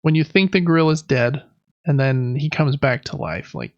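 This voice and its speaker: A man's voice: a little high, moderate pace, muffled recording. A man chatting casually as a podcast host. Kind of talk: casual chat about video games.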